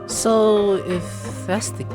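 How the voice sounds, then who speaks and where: A woman doing casual, excitable let's-play commentary, exclaiming and gushing as she talks to the characters and to herself. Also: mid-range voice, slow pace. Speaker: gameplay streamer. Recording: game music behind the voice.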